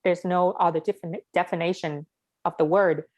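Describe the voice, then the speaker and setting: A woman's voice: lowish voice, steady tone, brisk, a little mellow. A young woman with a Vietnamese accent, speaking frankly and with animation in a casual conversation. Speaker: interviewee.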